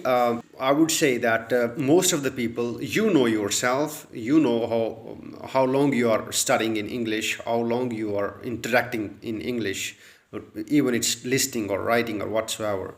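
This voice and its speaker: A man from Pakistan with a Pakistani accent, a native Urdu speaker, giving earnest English-learning advice with frequent "uh" fillers and repeated points. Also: medium pitch. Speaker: English tutor. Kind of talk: advice talk to camera.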